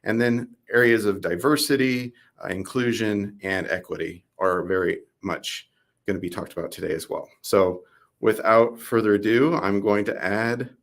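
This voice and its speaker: Male host with a lowish voice, enthusiastic and informal on a video call. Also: fairly even intonation, normal speed, vocal fry.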